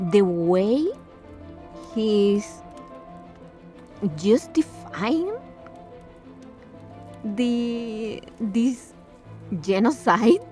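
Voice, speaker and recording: A woman reacts casually to a game, speaking very slowly in broken, emotional phrases. Her pitch sits in the middle, with faint music behind her. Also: darkish tone. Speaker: streamer reacting to game footage.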